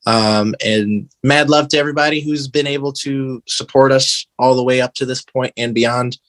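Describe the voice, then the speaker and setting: A man's voice: mid-range voice, fairly even intonation, moderate pace, a bit bright, dry recording. An American man talking casually as an interview guest, earnest and informal, with slangy phrasing and lots of "like". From American Midwest. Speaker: podcast guest, band guitarist.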